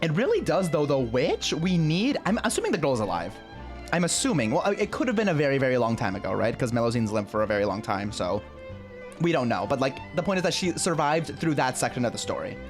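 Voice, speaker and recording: A woman's voice: extremely low pitch, sing-song, quick pace, faint music behind. A woman streaming a game, giving chatty, emotionally invested live reactions in a casual style. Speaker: streamer playing a story game.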